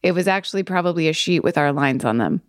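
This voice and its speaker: A woman's voice: deep voice, expressive, fast talker, dry recording, studio quality. A woman in her forties co-hosting a podcast, chatting casually with eager enthusiasm and frequent laughter. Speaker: podcast co-host, actress. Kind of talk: casual chat.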